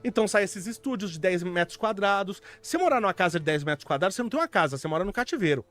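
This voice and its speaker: A man's voice: high-pitched, expressive, racing, fairly bright tone. A man in casual podcast chat, explaining his views bluntly and argumentatively. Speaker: podcast co-host.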